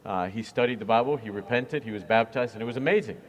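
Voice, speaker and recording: A man's voice: mid-range voice, fast talker, highs cut off, slight background noise. A man who is a church minister, talking earnestly and reflectively in an interview, with frequent fillers. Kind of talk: conversational interview.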